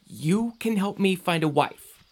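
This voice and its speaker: A man's voice: high-pitched, animated, a little slow. Earnest, doubtful young man played by an actor. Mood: puzzled. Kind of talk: audio drama acting.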